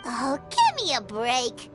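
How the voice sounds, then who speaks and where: Tiny squeaky, wildly expressive fairy sidekick. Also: female voice, takes it slowly, loud music behind the voice, heavily acted. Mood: exasperated. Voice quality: squeaky, childlike. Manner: blunt, exclamatory, speaks of self in third person. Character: small childlike fairy companion, blunt and cheeky. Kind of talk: animated video game voice acting.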